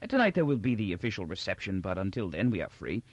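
A man voicing a gentle, confused elderly emperor from a novel, with a quavering, halting voice and a hesitant, forgetful manner. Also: lowish voice, very animated, dry recording, dull audio. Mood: kindly, absent-minded. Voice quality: quavering, halting old man's voice.

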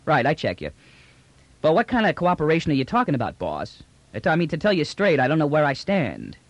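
Audiobook role: brash prisoner trader, voiced by a man, colloquial and bland-faced cheek, lively swings in pitch. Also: fairly quick, no room sound, muffled recording, heavily acted. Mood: puzzled, blunt. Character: brash, unruffled bearded male space trader prisoner, slangy. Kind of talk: audiobook character dialogue.

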